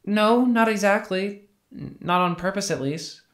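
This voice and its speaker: A man playing an awkward, sheepish young retail worker in an audio drama, with a halting, casual, wry delivery. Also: high-pitched, lively intonation, fairly bright tone, full character voice. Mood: awkward, pleading. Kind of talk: naturalistic scripted audio drama dialogue.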